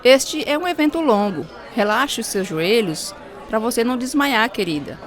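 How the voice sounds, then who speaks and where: A woman's voice: fairly high pitch, lively intonation, moderate pace, a faint crowd, close to an everyday voice. Actress as kindly, lilting older woman. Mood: reassuring. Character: kindly, reassuring older church choir woman. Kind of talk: radio drama acting.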